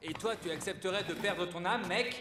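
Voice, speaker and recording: A sceptical young male footballer in a cartoon, acted by a man, pitched high. He talks in loose slang with sarcastic, wisecracking timing. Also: moderate pace, over music, natural voice. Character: laid-back, sceptical, wisecracking young male soccer player. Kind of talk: animated cartoon dubbing in French.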